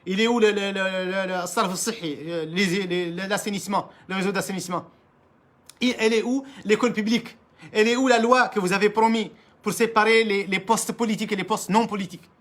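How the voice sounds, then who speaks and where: A man's voice: high-pitched, steady tone, brisk, fairly bright tone. A man from Mauritania, an Arabic speaker, delivering an impassioned political monologue in French, emphatic and repetitive, often restarting his words and addressing leaders directly. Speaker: political commentator.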